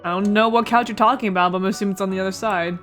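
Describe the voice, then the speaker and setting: A woman's voice: medium pitch, steady tone, very fast, fairly bright tone. A woman hosting a casual let's play, reading a visual novel aloud with playful asides and stumbles over words. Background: music.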